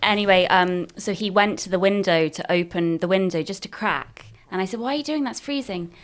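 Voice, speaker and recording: A woman in her thirties chatting casually as a podcast co-host. Her voice sits at an ordinary middle pitch, and she slips into bright, excited voices when she quotes others. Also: a little fast. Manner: storytelling, animated when quoting others. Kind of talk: casual chat.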